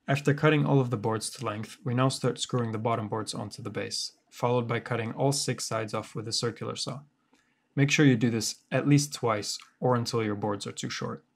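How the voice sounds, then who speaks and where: A man giving a scripted DIY tutorial voice-over in a deadpan, wry and sarcastic manner. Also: medium pitch, brisk, creaky. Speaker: woodworker presenting a DIY tutorial.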